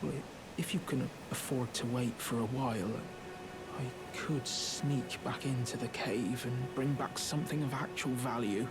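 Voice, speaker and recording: A man's voice: medium pitch, steady tone, measured pace, close to an everyday voice, low-quality recording. A man voicing a timid, pitiful small outcast creature in a video game, meek, grateful and hesitant. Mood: nervous, earnest. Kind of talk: video game character acting. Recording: music behind the voice.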